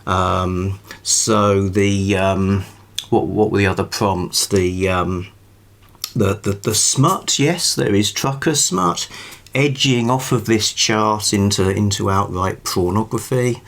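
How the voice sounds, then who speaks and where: Slow-talking, low-voiced British man. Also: creaky, a bit bright. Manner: halting, thoughtful, wryly amused. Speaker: book review vlogger. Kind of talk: rambling, informal book talk to camera.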